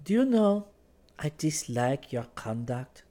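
Storybook part: young Frenchwoman, played by a man, lifted pitch, very lively melody, sharp-tongued and teasing. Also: a little slow. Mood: cool, challenging. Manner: pointed questioning and scolding, sprinkled with French exclamations.

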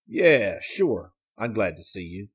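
A man's voice: on the low side, sing-song, takes it slowly, slightly rough, full character voice. A man voicing a brooding young man in an audiobook, speaking stiffly and irritably. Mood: curt. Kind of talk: audiobook character voice.

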